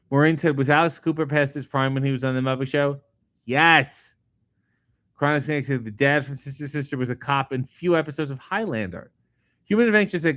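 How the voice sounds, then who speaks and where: A middle-aged man, a comedian host, reading viewer comments aloud and answering them in a casual, profane, deadpan way. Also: mid-range voice, no room sound, clean studio sound. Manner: profane, sardonic, deadpan asides. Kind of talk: casual chat answering live chat questions.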